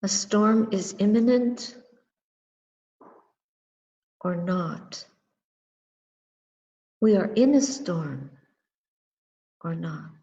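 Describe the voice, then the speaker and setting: A woman leads a meditative dharma reflection at an extremely slow pace. Her voice is dark and soft-edged, and she speaks in short, measured phrases separated by long pauses. The recording carries a long, hall-like reverb. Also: mid-range voice, animated, dull audio. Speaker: Buddhist nun and meditation teacher. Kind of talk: guided meditation and dharma talk.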